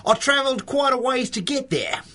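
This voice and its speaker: A wisecracking stranger from another world. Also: male voice, very high-pitched, lively intonation, brisk, pushed and bright, exaggerated voice. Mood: wry. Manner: wisecracking, breezy and sarcastic. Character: cocky male stranger from another world, glib and teasing. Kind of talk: 1930s-style radio drama acting.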